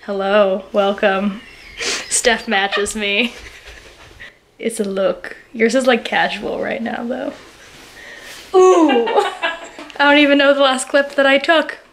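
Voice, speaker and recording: A woman's voice: a little high, slow, long pauses. A young woman in her twenties chatting in a bubbly, giggly way while vlogging with a handheld camera. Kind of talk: casual vlog chat. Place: restaurant and theme park, indoors and out. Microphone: handheld camera at arm's length. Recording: busy crowd noise around.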